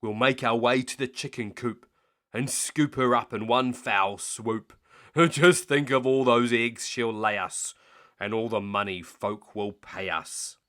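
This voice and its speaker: A man voicing a hefty, bossy, scheming robber from a storybook, loud and emphatic. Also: medium pitch, unhurried, lightly acted. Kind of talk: storybook character voice.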